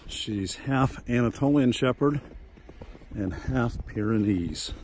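A man's voice: medium pitch, slow pace, darkish tone, slight background noise. A middle-aged man giving a casual walking tour of his farm, chatty and folksy, filmed outdoors on a handheld phone. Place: outdoors on a snowy farm and woods.

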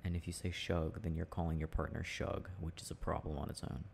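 Easygoing teasing boyfriend guy acting it out, intimate asmr chat, real low and mellow, rambles haltingly. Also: level tone, creaky, a little hiss or hum, full character voice. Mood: musing, amused. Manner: halting, musing, chuckling delivery. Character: teasing, easygoing boyfriend chatting with his partner. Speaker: audio roleplay performer playing a boyfriend.

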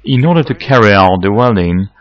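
Low-voiced man explaining a repair. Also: animated, lo-fi sound. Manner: measured, instructive explaining. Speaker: technical consultant. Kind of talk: scripted how-to narration. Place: electronics workshop, indoors.

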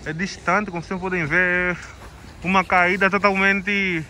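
A man from Angola vlogs with a handheld camera on a snowy ski slope. His voice is high and very bright, he laughs often, and faint outdoor noise sits behind him. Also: measured pace. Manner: laughing, cheering, excitable. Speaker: vlogger. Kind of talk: casual vlog chat.

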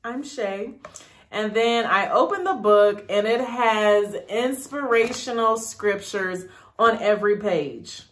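A woman's voice: medium pitch, slow, fairly bright tone, a little hiss or hum. A woman in her thirties vlogging in a casual, encouraging big-sister way, upbeat and repeating words for emphasis. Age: 30s. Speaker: YouTube vlogger. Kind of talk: casual encouraging vlog talk.

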